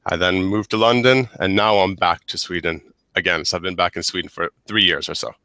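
A man's voice: low-pitched, fairly even intonation, a little fast, sharp tone, no echo. A man in his thirties from the United States, a guest on a video call headset, talking casually with many restarts and repeated words. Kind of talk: casual interview chat. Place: small office with whiteboard. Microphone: headset mic on a video call.

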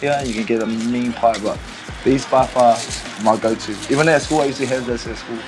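A man's voice: mid-range voice, fairly even intonation, fairly quick, rough recording. A man in his thirties with a New Zealand accent, chatting casually and jokily in slang as an interviewed barber. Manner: playful, slangy, with frequent "man" and "bro". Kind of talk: casual jokey chat. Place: indoors in a small convenience store. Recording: music playing behind the voice. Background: music.

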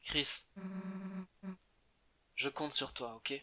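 A man voicing a tough, awkward teenage female monster in a game, blunt and halting. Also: medium pitch, fairly even intonation, bright, forceful tone, full character voice. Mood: grudging, threatening.

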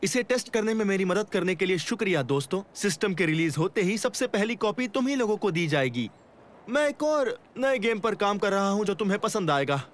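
A man voicing a friendly, enthusiastic video game maker in an animated comedy. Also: high voice, fairly quick. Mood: grateful.

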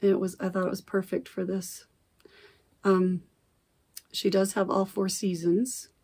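A middle-aged woman talks chattily at her desk camera in a home craft room, often circling back to correct herself. Her mid-range voice carries a gravelly fry, and it is still recovering after she lost it. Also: mid-range voice, level tone, a little slow, a little mellow. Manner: rambling, self-correcting, chatty. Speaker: cross-stitch vlogger. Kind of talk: casual chat. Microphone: camera at desk distance.

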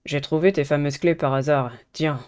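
A man voicing a polite, earnest teenage schoolboy in a dating game, measured. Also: a little high, steady tone, darkish tone, clean tone, natural voice. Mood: calm, earnest.

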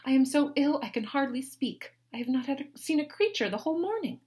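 A woman's voice: high-pitched, animated, brisk, voice pushed up. A woman putting on a whiny, self-pitying young lady. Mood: complaining. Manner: whining, complaining, loud.

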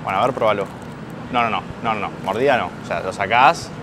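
A man's voice: fairly low pitch, quick pace. A man in his thirties with an Argentine Spanish accent, chatting playfully as a travel food vlogger. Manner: chatty, playful banter with co-host. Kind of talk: casual travel food vlog. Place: outdoors on city streets and cafés. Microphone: handheld selfie camera. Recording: noisy street recording.

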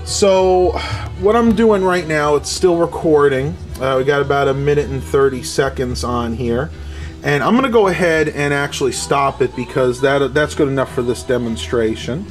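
A man giving a casual hands-on tech demonstration and review, speaking into a handheld camera at home. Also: a little high. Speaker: product reviewer. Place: small furnished room at home. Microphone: handheld camera's built-in mic, held by the speaker.

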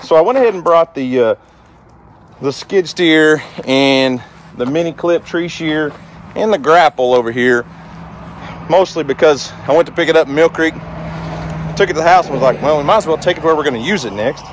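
A man's voice: on the high side, normal speed, a little hiss or hum. A man, giving a casual, rambling walkthrough to viewers in a folksy way. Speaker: homestead vlogger, host. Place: outdoors in a yard, then inside a cluttered empty house. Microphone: handheld camera at arm's length.

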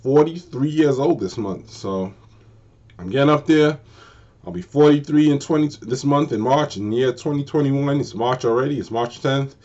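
A man in his forties talking casually to camera in a small bedroom, giving relationship advice in an emphatic, repetitive way and slowing down to stress his points. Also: medium pitch, dark tone, dull audio. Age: 40s. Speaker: vlogger. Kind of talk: casual unscripted advice monologue. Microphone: built-in camera mic at desk distance.

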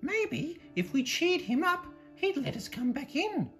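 Woman voicing high, soft, prim lady zebra. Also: big pitch swings, measured pace, faint music behind. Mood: earnest. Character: prim, gentle female zebra from a picture book.

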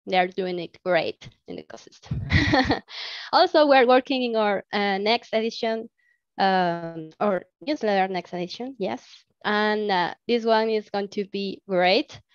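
A woman's voice: medium pitch, clean tone, no echo. A woman in her thirties giving enthusiastic updates on a video call, with many fillers. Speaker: team member presenting updates. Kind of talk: casual meeting update. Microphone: laptop mic on video call. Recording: dull lo-fi call audio.

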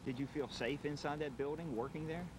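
High-voiced man narrating a news piece. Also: steady tone, brisk, noisy background. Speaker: reporter. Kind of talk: packaged news report.

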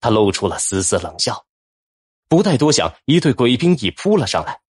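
A man's voice: mid-range voice, sing-song, normal speed, a little mellow, slightly rough. A man narrating a fantasy novel in a dramatic, scripted audiobook style. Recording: background music under narration.

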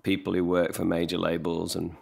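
Man, 40s, very low and gravelly voice; loose, self-deprecating podcast guest on a home desk mic. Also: completely flat delivery, brisk. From England. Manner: rambling, self-deprecating, many restarts. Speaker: guest songwriter. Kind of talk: casual podcast conversation. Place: living room at home. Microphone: desk mic on stand, video call.

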